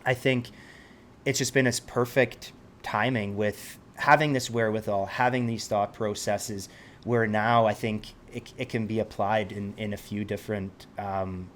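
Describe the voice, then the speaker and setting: Interview guest: man from Switzerland, lowish voice, thoughtful, stops and restarts, faint room hum. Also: steady tone, measured pace, a bit bright. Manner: reflective, searches for words, frequent restarts. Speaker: interview guest, helicopter pilot. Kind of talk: casual conversation.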